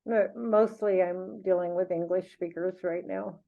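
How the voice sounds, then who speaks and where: A woman's voice: lowish voice, fairly even intonation, unhurried, gravelly. A woman chatting casually as a podcast co-host, musing in a reflective way. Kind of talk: casual unscripted conversation. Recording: thin phone-like audio.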